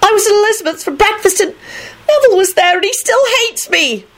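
A woman's voice: extremely high pitch, lively intonation, a little fast, pitched above the performer's own voice. A woman voicing a haughty, melodramatic young daughter in an audiobook, in a screechy, wailing manner. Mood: sobbing, wailing.

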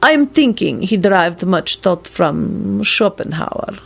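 An aloof old vampire lord from a gothic storybook, played by a woman. He speaks very slowly and deliberately, with scholarly disdain and a contained intensity. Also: medium pitch, sing-song. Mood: abrupt, scholarly.

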